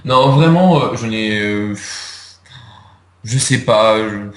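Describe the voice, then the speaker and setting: A man's voice: fairly low pitch, takes it slowly, a bit bright, roomy sound. A young man answering interview questions in casual chat, hesitant, with many fillers and restarts. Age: young adult. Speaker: interviewee, video creator.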